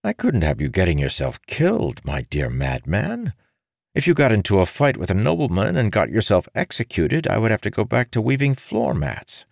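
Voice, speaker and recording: Guy doing a chill wry monk for a storybook, bit gravelly, voice bounces around, teasing. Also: lowish voice, normal speed, no echo, studio quality, pitched above the performer's own voice, full character voice. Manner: relaxed, wry, playful.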